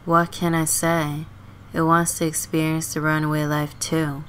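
Woman as a low-voiced, sardonic teenage runaway girl. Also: little pitch movement, unhurried, clear, resonant tone. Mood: dry, offhand. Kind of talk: scripted life-simulation game story.

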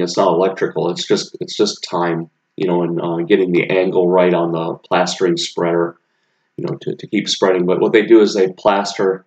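A man's voice: low voice, a little mellow, lo-fi sound. A man casually narrating photos of his apartment renovation, rambling with many fillers and restarts. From United States.

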